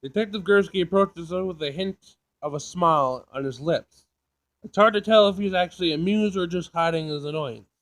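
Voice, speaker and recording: A man's voice: high voice, moderate pace, fairly bright tone, poor audio. A man doing a casual let's play, reading game text aloud and reacting in an informal, sassy way. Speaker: gaming video player.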